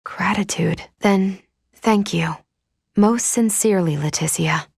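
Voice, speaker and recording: An actress playing a polite, composed female android from a video game, gently caring like an elder sister, with formal, measured, precise phrasing. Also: mid-range voice, gravelly, breathy edge, dry recording, studio quality, exaggerated voice. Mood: earnest, warm. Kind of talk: video game character acting.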